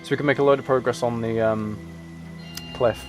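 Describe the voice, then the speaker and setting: A man with a mid-pitched voice is live streaming a game. He talks casually to his viewers and muses aloud in a rambling way, with music playing behind him. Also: steady tone, fairly quick, darkish tone. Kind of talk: casual chat with viewers while gaming.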